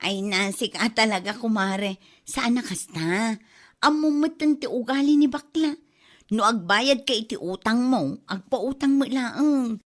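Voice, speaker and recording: A woman's voice: fairly high pitch, measured pace, lightly acted. A woman playing a gossipy neighbour with a Filipino accent, emphatically disapproving in a comedy drama. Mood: disapproving gossip. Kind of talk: comedy radio-drama acting.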